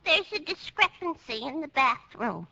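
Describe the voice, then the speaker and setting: Very high-voiced, literal-minded maid. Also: female voice, big pitch swings, a little slow, creaky, heavily acted. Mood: fussy, puzzled. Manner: rambling, matter-of-fact, literal. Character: literal-minded, fussy young hotel maid with run-on logic. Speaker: comic actress. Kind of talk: 1950s radio comedy acting. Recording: noisy, muffled old radio broadcast recording.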